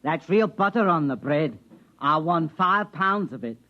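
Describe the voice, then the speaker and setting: A chatty British army corporal in an old radio play, pitched a bit high. He is obliging and deferential with a cheeky streak, heard on a muffled vintage recording. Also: lively intonation, unhurried. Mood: obliging, cheerful. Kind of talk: 1950s radio drama acting.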